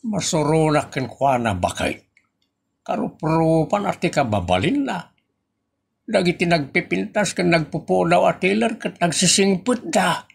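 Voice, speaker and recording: A man with an Ilocano accent acting a grumbling, excitable older husband and father in a comedy audio drama. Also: fairly high pitch, a little slow, creaky, slightly rough, heavily acted. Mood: indignant. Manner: exclamatory, complaining.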